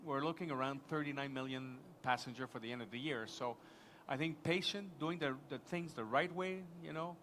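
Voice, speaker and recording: A man speaking as an airport executive at a live conference panel, halting and restarting his sentences. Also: mid-range voice, a bit bright, a little hiss or hum. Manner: halting, many restarts and fillers.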